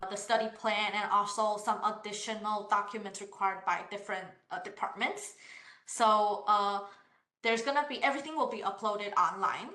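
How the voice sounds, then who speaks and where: A woman's voice: medium pitch, sharp tone. A woman from university admissions reading out questions and answering them in an online session, politely and with many hesitations. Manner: hesitant, frequent "uh" fillers and restarts; polite, explanatory. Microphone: online session over computer mic. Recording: roomy echo.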